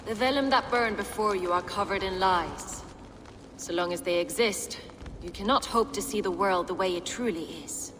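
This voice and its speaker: A woman's voice: mid-range voice, fairly bright tone, slight background noise, lightly acted. Woman playing a cold, imperious abbess in cavernous reverb. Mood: cold, righteous. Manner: imperious, measured, menacing. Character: cold, commanding female abbess, fanatical villain. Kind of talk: video game voice acting. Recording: long hall reverb, music behind.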